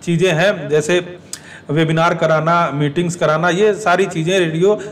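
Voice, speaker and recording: High-pitched man explaining, distant mic. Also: fairly even intonation, moderate pace. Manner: repeats and rephrases points to explain. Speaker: exam information presenter. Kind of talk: explanatory talk to exam aspirants.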